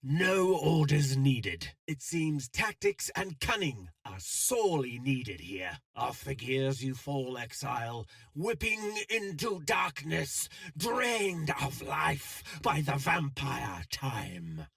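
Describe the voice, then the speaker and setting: An actor playing an eloquent, scheming syndicate villain in a video game, with a pompous, florid, theatrical delivery. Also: male voice, medium pitch, big pitch swings, slow, gravelly, hardly any pauses, a bit bright, dry recording, clean studio sound, full character voice. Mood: taunting, grandiose.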